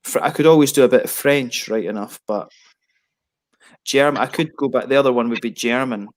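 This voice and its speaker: Man, 30s, casual rambling hangout talk over a headset, mid-pitched and relaxed, frequent self-corrections. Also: moderate pace. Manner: rambling, many restarts and fillers. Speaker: beer reviewer on a video hangout. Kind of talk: casual chat.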